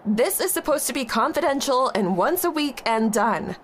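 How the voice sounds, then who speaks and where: A woman's voice: high voice, lively intonation, normal speed, slight background noise. An actress playing a young woman in a grief support group, evasive at first and then blunt and exasperated. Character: young woman, guarded and impatient. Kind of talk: audio drama acting.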